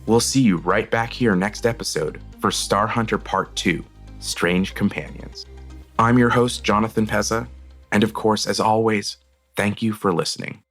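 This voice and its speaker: A man's voice: on the low side, steady tone, normal speed, a bit bright. A man hosting an audio drama show, reading scripted closing credits into a studio microphone. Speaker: host, director. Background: background music.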